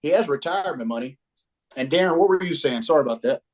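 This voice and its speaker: A male insurance agent talking on a team call, brisk and folksy with quick sign-offs. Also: on the high side, lively intonation. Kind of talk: casual phone and team-call talk.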